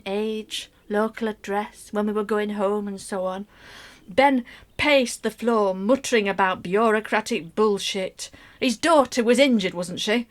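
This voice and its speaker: An actress playing an anxious middle-aged mother, telling her story in an expressive, wry first-person radio play monologue. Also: mid-range voice, natural voice. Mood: weary, anxious. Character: anxious middle-aged mother recounting a troubled family holiday.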